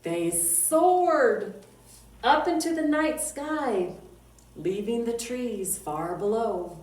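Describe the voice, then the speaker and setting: A woman reading a picture book aloud to young children as a storytime librarian, with drawn-out words and dramatic emphasis. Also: on the high side, lively intonation, darkish tone. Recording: roomy echo, distant mic, faint noise.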